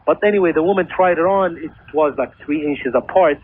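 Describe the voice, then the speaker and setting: Male phone-in caller, quick pace, slightly high voice, insistent, narrow hissy telephone sound. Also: breathy edge. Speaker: caller, clothing store owner. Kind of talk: conversational storytelling on a phone-in. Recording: tinny phone line with hiss.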